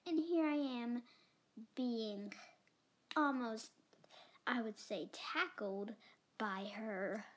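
A woman's voice: a little high, drawn-out words, pauses often. A young girl improvising a doll roleplay at home, whiny and dramatic, often dropping to a whisper. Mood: self-pitying. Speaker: doll video creator voicing the lead character. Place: carpeted bedroom floor.

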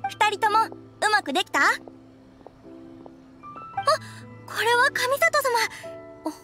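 A woman playing a friendly, enthusiastic young restaurant keeper in an anime game, chirpy and expressive. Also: very high voice, moderate pace, soft background music, heavily acted. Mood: friendly, curious. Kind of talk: anime game character acting.

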